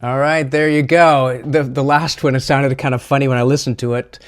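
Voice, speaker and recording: A man presenting a software tutorial in a casual, chatty, slightly self-deprecating way. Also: medium pitch, little pitch movement, brisk, faint music behind.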